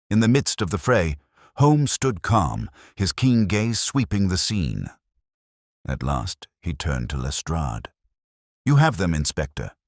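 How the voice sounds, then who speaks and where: Very deep-voiced man narrating mystery. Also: husky, dry recording, clean studio sound. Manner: grave, measured, formal storytelling delivery. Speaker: audiobook narrator. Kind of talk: dramatic scripted mystery story narration.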